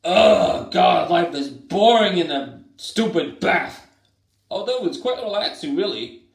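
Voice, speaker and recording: A man's voice: high-pitched, sing-song, slow pace, creaky, voice pushed down, exaggerated voice. Male performer: a hot-tempered critter stuck as a duck, rants and wails in a roomy space. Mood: grumbling. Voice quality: shouty, whiny, grumbling. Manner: dramatic, wails and complains. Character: hot-headed male echidna turned into a duck. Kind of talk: playful homemade toy play. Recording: roomy echoey room.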